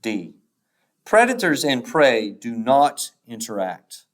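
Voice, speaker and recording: Very slow-paced man reading quiz questions. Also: mid-range voice, very animated. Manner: measured, pausing after each option letter. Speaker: teacher reading a test aloud. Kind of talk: slow, deliberate read-aloud of quiz questions and answer choices.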